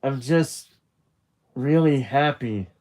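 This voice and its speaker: A man's voice: medium pitch, slow pace, darkish tone, natural voice. Man acting out a shy, tender teenage boy. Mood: tearfully happy.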